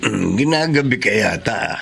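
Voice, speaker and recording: A man's voice: fairly low pitch, expressive, normal speed, vocal fry, fairly bright tone, a little hiss or hum, exaggerated voice. An actor playing a lecherous, threatening older male neighbor in a radio drama. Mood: leering. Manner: leering, threatening.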